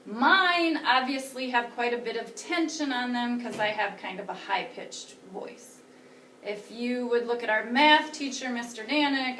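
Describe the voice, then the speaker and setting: A slow, careful woman teacher lectures with a fairly high voice. She sits a little back from the mic, so the room echoes around her. Faint hum of the room underneath. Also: fairly bright tone. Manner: explains slowly, pointing to slides. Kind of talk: recorded classroom lecture. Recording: roomy, a bit far from the mic.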